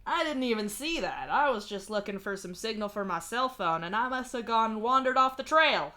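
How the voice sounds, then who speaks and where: A woman's voice: a little high, lively intonation, brisk, pushed and bright. Woman putting on a goofy mock-Texan tourist drawl. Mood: folksy, polite. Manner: drawling, folksy, playing dumb. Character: young man faking a goofy, cartoonish American tourist drawl.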